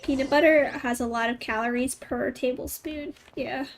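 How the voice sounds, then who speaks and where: A woman's voice: high-pitched, steady tone, normal speed, a little hiss or hum. A woman chatting casually and informally in a rambling way while she plays a game. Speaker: game streamer. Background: game music.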